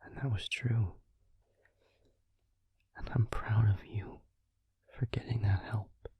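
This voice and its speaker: A man playing a gentle, supportive vampire boyfriend in an intimate ASMR roleplay, in a breathy, soothing whisper with pauses. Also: on the low side, fairly even intonation, dry recording, exaggerated voice. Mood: tender, reassuring. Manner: soft, soothing, hesitant pauses.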